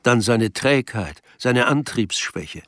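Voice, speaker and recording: A man's voice: medium pitch, expressive, slow, fairly bright tone, dry recording. A man narrating a melancholy literary story in an audio drama. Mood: measured narration. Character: male narrator of a melancholy novel.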